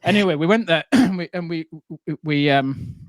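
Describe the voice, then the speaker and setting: A man's voice: on the high side, moderate pace, dry recording. Rambling man telling swear-laced stories. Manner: lively storyteller, swears freely, rambling. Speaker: hospitality entrepreneur guest. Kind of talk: casual anecdote telling.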